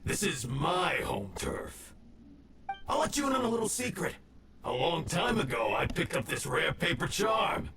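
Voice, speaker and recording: A man's voice: on the high side, sing-song, unhurried, creaky, reverberant, far from the mic, background noise, heavily acted. An actor playing a scheming male ronin gang boss who gloats and sneers. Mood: gloating. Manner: gloating, then panicked shouting.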